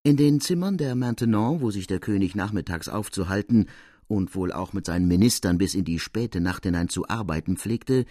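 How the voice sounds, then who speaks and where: A man reading classic literature as a scripted narration, with expressive, dramatic and deliberate phrasing. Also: low voice, no echo. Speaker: audiobook narrator.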